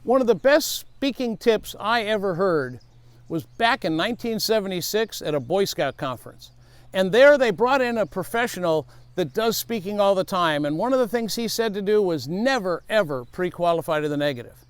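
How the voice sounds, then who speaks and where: Emphatic high-voiced man giving speaking advice. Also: moderate pace, clear, resonant tone, no room sound. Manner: emphatic, energetic, repeats his key rule. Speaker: safety speaker. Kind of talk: motivational speaking tip to camera.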